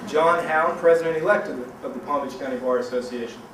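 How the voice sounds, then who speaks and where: A man's voice: fairly high pitch, fast talker. A man acting as master of ceremonies, introducing speakers at an event in an informal, lightly joking way. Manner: casual, joking asides. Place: large reverberant room at a public event. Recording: very echoey hall, distant mic, loud noise.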